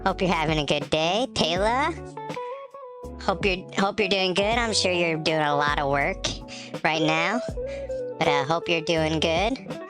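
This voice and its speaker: A woman's voice: on the low side, measured pace, long pauses, music in the background. A woman streaming a game who chats casually, laughs often and greets viewers by name, her voice stuffy from allergies. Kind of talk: casual live-stream chat.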